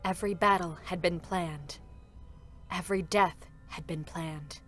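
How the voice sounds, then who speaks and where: A woman giving a measured, formal scripted narration of a dramatic game story. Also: lowish voice, fairly even intonation, a bit bright, faint music behind.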